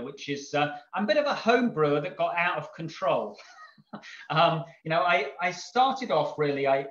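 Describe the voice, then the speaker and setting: A man's voice: fairly high pitch, a bit bright. A middle-aged man, a brewery owner giving a casual, rambling webinar talk from home over a video call. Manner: rambling, chuckling, many ums and restarts. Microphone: video call microphone. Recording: echoey room, dull video call audio.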